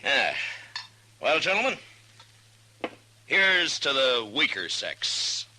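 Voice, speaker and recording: Firm, bright-toned frontier marshal. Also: male voice, medium pitch, sing-song, takes it slowly, full character voice. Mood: weary amusement. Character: firm, wry, authoritative frontier lawman. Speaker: U.S. marshal in a western. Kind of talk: 1950s radio western acting. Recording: noisy 1950s radio recording.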